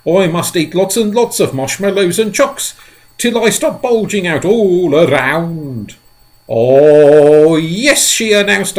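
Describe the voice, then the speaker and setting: Cheeky show-off centipede, played by a man, high, lively, sing-song storybook voice. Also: low-quality recording. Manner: loud, gleeful, sing-song. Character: boisterous cheeky male centipede.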